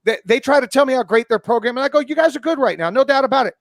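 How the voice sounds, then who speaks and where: A man's voice: very high-pitched, lively intonation, racing, dry recording, clean studio sound. A man in his forties talking sports casually as a pundit, blunt and opinionated, swearing freely. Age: 40s. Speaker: college football pundit and podcast guest.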